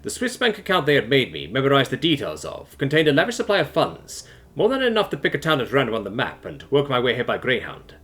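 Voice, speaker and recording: A man's voice: medium pitch, huge pitch swings, quick pace, fairly bright tone. Audiobook fugitive read by a man: resigned, confiding, formally worded, with varied intonation. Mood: grave resignation. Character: resigned, doomed fugitive recounting his secret story.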